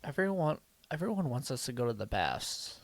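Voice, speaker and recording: A man's voice: medium pitch, sing-song, normal speed, vocal fry, no room sound. A man bantering casually and playfully as a podcast co-host playing a game.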